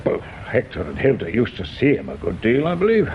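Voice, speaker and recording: An actor playing a stuffy, brusque older English town councillor in an old-time radio drama, speaking with pompous officialdom. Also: male voice, lowish voice, huge pitch swings, brisk. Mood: guarded, stiff. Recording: muffled old radio recording, faint noise.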